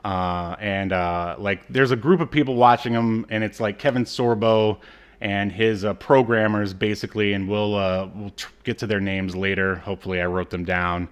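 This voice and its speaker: A man co-hosting a podcast, recapping in a casual, halting, conversational way that trails off. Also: lowish voice, fairly even intonation, smooth. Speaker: podcast co-host. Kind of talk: casual chat, recapping a TV episode.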